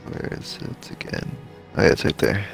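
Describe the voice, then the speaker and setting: A man streaming a game, chatting casually with his friends as he plays. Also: normal speed. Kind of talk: casual gaming chat with friends. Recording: game music behind the voice. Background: video game music.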